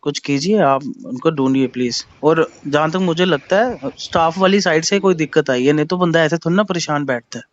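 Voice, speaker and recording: A man's voice: on the high side, brisk, hardly any pauses. A man in a serious phone conversation, asking questions politely but pressing for answers. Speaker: concerned caller asking questions. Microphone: phone call recording. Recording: dull lo-fi recorded phone call.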